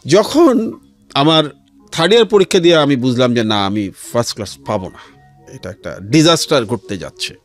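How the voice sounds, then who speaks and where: A middle-aged man with a Bangladeshi accent telling his life story as an interview guest, in a measured way with pauses and some English words mixed in. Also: mid-range voice, big pitch swings. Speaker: interview guest, civil servant. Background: background music.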